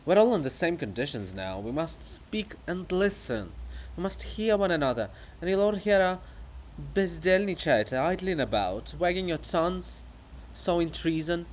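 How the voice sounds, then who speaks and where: A man's voice: a little high, very animated, normal speed, a little hiss or hum, poor audio. A man playing an indignant, haughty monument of a writer, declaiming and scolding in a theatrical reading. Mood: stern, scolding.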